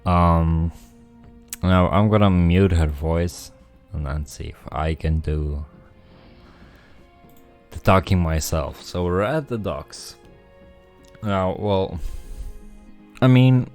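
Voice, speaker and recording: A man's voice: low-pitched, slow, long pauses, a little mellow. A man streaming a game, thinking aloud and reading the story text himself. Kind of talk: casual game commentary with read-aloud narration. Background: faint music.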